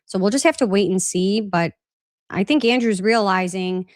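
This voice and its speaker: A woman hosts a live-stream chat, moving casually between her own thoughts and viewer comments in a loose, rambling way. She is a little congested and has a slight cough. Her voice sits at a medium pitch and is recorded dry, with little room sound. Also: moderate pace, no echo. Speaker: podcast host.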